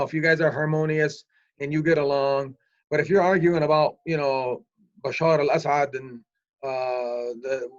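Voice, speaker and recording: An older man speaking forcefully in a panel discussion, repeating himself to press his point. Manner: forceful, repetitive opinionated talk. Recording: muffled low-fidelity recording.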